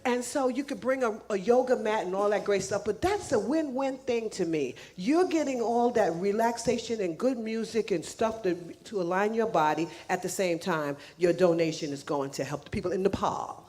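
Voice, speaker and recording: A woman's voice: mid-range voice, normal speed, hardly any pauses, darkish tone. A woman making casual announcements to a church congregation, chatty and laughing, with frequent restarts, speaking English as a second language. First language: not English. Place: church sanctuary.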